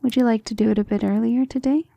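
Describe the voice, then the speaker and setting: A woman voicing a centuries-old vampire lover in an ASMR romantic roleplay, soft, breathy and often whispering, intimate and gentle, with formal wording. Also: on the high side, fairly even intonation, fast talker, no echo. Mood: reassuring, protective. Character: centuries-old female vampire lover, tender, protective, self-assured.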